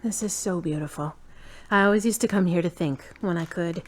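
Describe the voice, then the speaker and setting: A woman's voice: fairly low pitch, fairly quick, lightly acted. A woman playing a frank, caring friend with a conversational, matter-of-fact way of talking, in an audio drama. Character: frank, caring woman, tired but gently teasing. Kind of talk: hobby audio drama acting.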